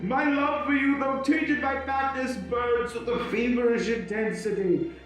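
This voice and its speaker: A tormented gothic phantom pours out his devotion in a high voice, slow and grandly theatrical. He grovels and pleads like a man undone by love, sprinkling in French. The sound is distant and heavily echoing, with music underneath. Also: fairly even intonation, a bit bright. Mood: feverish devotion. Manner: theatrical, pleading, florid declamation with French interjections. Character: anguished male masked phantom, lovesick, mad, grovelling. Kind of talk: gothic audio drama acting. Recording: very echoey hall, distant, music behind.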